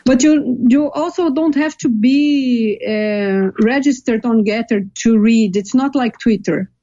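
A woman's voice: fairly high pitch, slow, warm tone, no echo. A woman from Brazil with a Brazilian accent, Portuguese native, calling in to a podcast, laughing easily and explaining patiently. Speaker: caller. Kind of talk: casual call-in chat. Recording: dull lo-fi call line.

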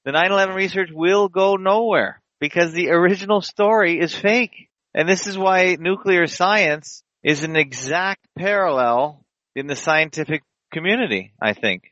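A man's voice: high-pitched, normal speed, bright, forceful tone, no room sound, muffled recording. Rambling man hosting casual internet radio. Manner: rambling, opinionated, conversational. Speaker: podcast host. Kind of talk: casual online radio chat.

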